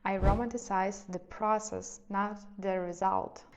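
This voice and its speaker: A young woman who is not a native English speaker, giving gentle, reflective advice in a casual vlog and often hedging with little fillers. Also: medium pitch, fairly even intonation, unhurried. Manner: soft, reflective, hedging with "let's say" and "I don't know". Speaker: lifestyle vlogger. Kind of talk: casual advice talk to camera.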